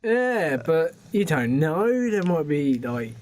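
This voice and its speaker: A man's voice: on the high side, sing-song, takes it slowly, a little mellow. A man co-hosting a podcast in casual comedic banter, teasing and questioning sceptically. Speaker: podcast co-host.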